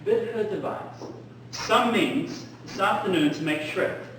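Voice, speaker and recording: Guy acting a young romantic gentleman, highish voice, slow stagey delivery, very echoey recording. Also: distant mic, background noise. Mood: earnest.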